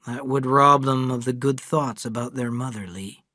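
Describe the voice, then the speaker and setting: A man voicing a grave, widowed father of twins who speaks in a measured, earnest way. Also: medium pitch, sharp tone, no room sound, studio quality.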